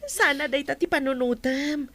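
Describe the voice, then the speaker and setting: A woman's voice: high-pitched, normal speed, a bit bright. A woman playing a caring, supportive companion in an Ilocano Filipino radio drama. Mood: caring, chatty. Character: supportive female companion of a new mother, caring.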